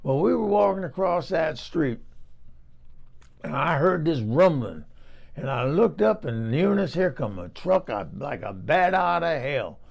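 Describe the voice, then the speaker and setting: Rambling old southern lady as a man puts her on, tennessee accent, raised pitch, gravelly. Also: animated, measured pace, exaggerated voice. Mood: gossipy. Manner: rambling, folksy, chatty. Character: chatty old Southern woman, rambling folksy gossip. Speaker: comic character monologue. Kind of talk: comic storytelling.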